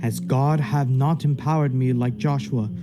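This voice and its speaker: A man's voice: medium pitch, steady tone, unhurried, over music, close to an everyday voice. A man playing a stern, imperious judge in a radio play, speaking in formal, archaic period language with command. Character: stern, unbending male judge, imperious and impatient. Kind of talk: period stage drama acting in a radio play.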